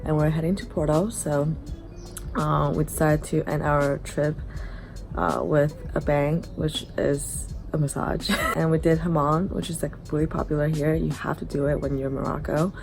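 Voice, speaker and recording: A young woman chatting casually to camera as a travel vlogger, relaxed and drowsy, recorded at arm's length indoors. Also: low-pitched, gravelly, poor audio. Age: young adult. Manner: relaxed, slow, drowsy after a massage. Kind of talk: casual vlog chat to camera. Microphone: camera held at arm's length. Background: background music.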